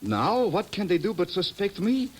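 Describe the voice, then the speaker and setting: An agitated scientist, formal and earnest in speech. His fairly high voice swings expressively, on a lightly noisy old radio drama recording. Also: sing-song, moderate pace. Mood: distressed, pleading. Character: anxious, grateful old-world physicist, earnest father. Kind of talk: 1950s radio drama acting.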